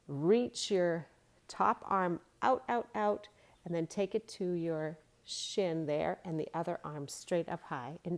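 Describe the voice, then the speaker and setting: A woman's voice: fairly low pitch, a little mellow. A woman narrating a read-along storybook and giving yoga instruction in simple phrasing for young children.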